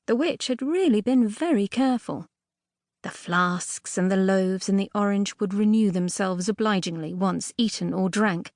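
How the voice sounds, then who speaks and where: A woman reading a storybook fairy tale as a scripted audiobook narration, expressively and a little primly, with dramatic emphasis and pauses. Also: mid-range voice, darkish tone, dry recording. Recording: dry close studio audiobook recording.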